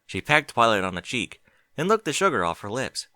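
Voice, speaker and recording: A man narrating a fan fiction story in an expressive, animated reading. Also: medium pitch, fairly quick, dry recording, studio quality. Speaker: audiobook reader.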